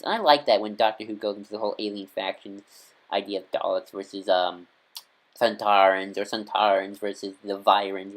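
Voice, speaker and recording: A man's voice: fairly low pitch, poor audio. Hesitant, rambling man, casual fan vlog. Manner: hesitant, rambling, halting and self-correcting. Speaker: vlogger reviewing audio dramas. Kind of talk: casual unscripted fan recommendation talk.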